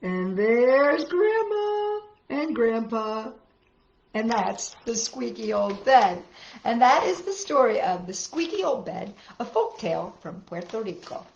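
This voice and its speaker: Female children's storyteller, lively melodic range, slow pace, dramatic emphasis, roomy lo-fi recording. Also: fairly high pitch, slight background noise. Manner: simple phrases with dramatic emphasis. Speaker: children's story-time host. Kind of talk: animated read-aloud storytelling for children. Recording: roomy, dull lo-fi home recording.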